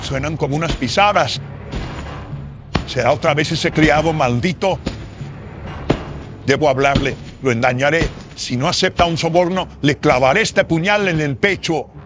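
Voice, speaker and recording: Man playing a scheming, agitated notary, higher voice, music behind. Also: normal speed, long pauses, lightly acted. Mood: wary, scheming. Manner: muttering to himself, theatrical, agitated. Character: greedy, scheming colonial notary, nervous and crooked. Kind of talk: melodramatic horror radio drama acting. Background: dramatic music.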